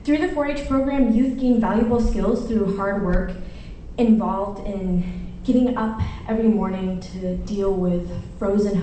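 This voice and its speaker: A woman's voice: mid-range voice, mellow, slight background noise. A woman from Chile giving an earnest, formal prepared speech as a public commenter at a meeting. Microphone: lectern mic, heard from a distance. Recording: echoey meeting room from far off.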